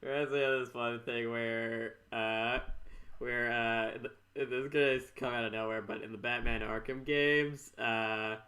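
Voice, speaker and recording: A man's voice: mid-range voice, fairly even intonation, a little slow, bright, forceful tone. A man hosting a casual podcast chat, rambling and jokey, often stammering over words. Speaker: podcast host.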